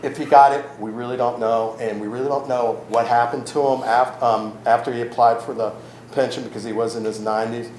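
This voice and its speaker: A man giving an informal public talk as a records manager, loud-voiced, with many ums and self-corrections, speaking without a microphone in an event room. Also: medium pitch, fairly even intonation, a little fast. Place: event room in a historic house. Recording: roomy echo with faint ambient noise.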